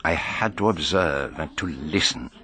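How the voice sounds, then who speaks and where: An actor playing a shrewd foreign detective, measured and formal, in a radio drama. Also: male voice, rumbling low, lively intonation, sharp tone, full character voice. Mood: pensive. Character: shrewd, courteous foreign male detective, inwardly brooding. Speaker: detective narrating his own case. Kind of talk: radio drama narration and dialogue.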